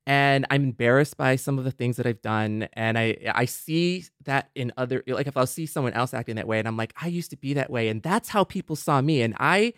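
A man's voice: mid-range voice, a little fast, few pauses, fairly bright tone, no room sound, clean studio sound. A man with an American accent co-hosting a casual podcast, agreeable and self-deprecating as he talks things through. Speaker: podcast co-host.

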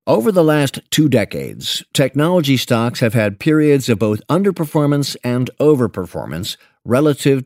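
A man narrating a written financial piece aloud in a measured, articulate and deliberate way. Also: medium pitch, a little mellow, no room sound, studio quality. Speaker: professional narrator reading an investment memo. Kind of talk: scripted narration, read aloud.

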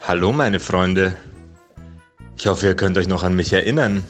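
A man's voice: low-pitched, moderate pace, soft background music. A man addressing his players as dungeon master in a theatrical, grandiose storyteller manner.

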